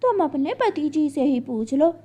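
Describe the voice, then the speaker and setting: A woman's voice: high voice, sing-song, unhurried, background noise. A woman voicing a coy, sly young female crow bride in a cartoon story, with sweetly teasing speech. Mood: smug, teasing. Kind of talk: Hindi cartoon story acting.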